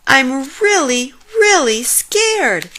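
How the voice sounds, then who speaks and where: An anxious little storybook monkey acted out by a woman, bright and high. He protests nervously, with pitch that jumps about. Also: big pitch swings, very slow, heavily acted. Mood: panicked. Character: anxious monkey insisting he is not scared.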